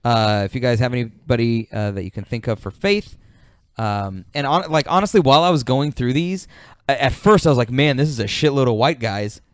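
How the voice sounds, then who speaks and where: A man's voice: medium pitch, brisk, no echo. Casual male podcast host, dry close sound. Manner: informal, swearing. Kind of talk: casual panel chat.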